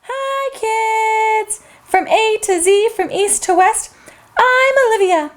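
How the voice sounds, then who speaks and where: A woman's voice: very high-pitched, slow pace, faint music behind. A woman hosting a children's show, reading a storybook aloud in a sing-song way and talking straight to the kids.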